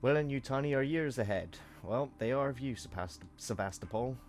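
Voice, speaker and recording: A man with a croaky voice from a cold, chatting through gameplay commentary with joking asides. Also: mid-range voice, expressive, a little fast. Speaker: let's play host.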